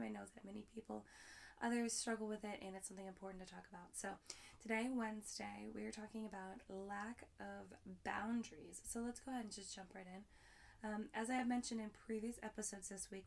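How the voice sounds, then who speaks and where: Raspy-voiced woman hosting a chatty podcast. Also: medium pitch. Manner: rambling, upbeat, many fillers and restarts. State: hoarse, raspy voice. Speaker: podcast host. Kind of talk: solo podcast talk.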